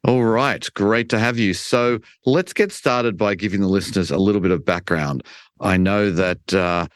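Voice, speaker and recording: A man hosting an interview podcast, introducing his guest in a measured, friendly way and listing what they discuss. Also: on the low side, no echo, studio quality. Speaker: podcast host.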